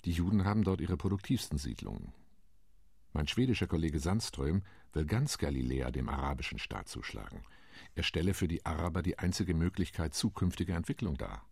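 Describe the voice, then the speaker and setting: An actor playing a calm, tactical delegate on a partition committee, speaking in a radio play monologue. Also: male voice, extremely low pitch, fairly quick, creaky, breathy edge, no room sound, full character voice. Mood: measured.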